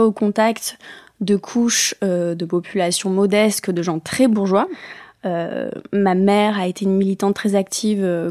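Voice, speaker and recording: A woman's voice: mid-range voice, darkish tone, dry recording, clean studio sound. A middle-aged woman from France talking reflectively as an interview guest, with many hesitations. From France, born in Bayonne. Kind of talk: casual interview talk.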